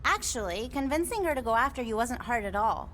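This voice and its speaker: An oddball alien mystic in a video game, airy and playful. Her high voice lilts whimsically with big swings in pitch, over a faint bed of noise. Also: animated, a little fast, a bit bright. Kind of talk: video game cutscene acting.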